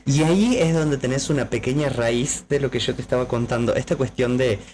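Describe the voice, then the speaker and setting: A man's voice: mid-range voice, fairly quick, darkish tone, a little hiss or hum. A man co-hosting a casual podcast, explaining at length with many fillers. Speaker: podcast co-host.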